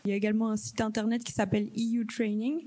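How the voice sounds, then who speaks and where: Warm-toned woman panelist, calm and informative, a few fillers. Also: fairly high pitch, very fast. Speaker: EU Parliament staff panelist. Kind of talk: answering questions at an info session.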